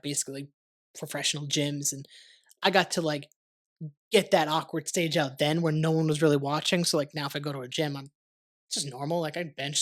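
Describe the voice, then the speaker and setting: A young man hosting a podcast, talking casually and rambling with lots of "like" and the odd swear word. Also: a little high. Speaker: podcast host. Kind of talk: casual conversational interview. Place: warm studio under lights.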